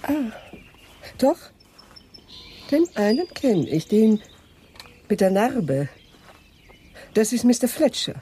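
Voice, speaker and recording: An actress playing a genteel sanatorium owner in a German radio crime drama, polite, hesitant and evasive. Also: female voice, on the high side, big pitch swings, takes it slowly, long pauses, mellow, slight background noise. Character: genteel, guarded lady owner of a private sanatorium.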